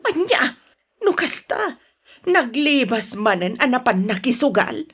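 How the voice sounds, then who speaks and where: Husky, fed-up Ilocano wife acted by a woman. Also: fairly high pitch, very animated, measured pace, fairly bright tone, full character voice. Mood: worried. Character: fed-up, worried wife, sharp-tongued and stubborn. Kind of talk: Ilocano radio drama acting.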